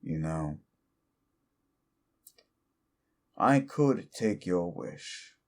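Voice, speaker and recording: A scornful, lawful-evil demon, voiced by a man, who berates the listener with bitter contempt. His voice is a middling male pitch, and he speaks slowly and deliberately, dropping to hushed menace before rising into exasperated tirades. This is an audio roleplay. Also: animated, heavily acted. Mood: menacing, hushed.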